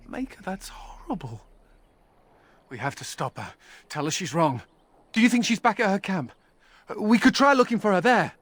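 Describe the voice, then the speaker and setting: A man's voice: high voice, slight background noise. An actor playing an earnest, compassionate male companion in a fantasy video game, speaking expressively. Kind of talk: video game character acting.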